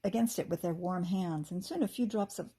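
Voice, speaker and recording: Expressive American woman reading a storybook, slightly husky. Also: fairly low pitch, brisk. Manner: animated, acts out dialogue. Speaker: teacher reading a children's book aloud.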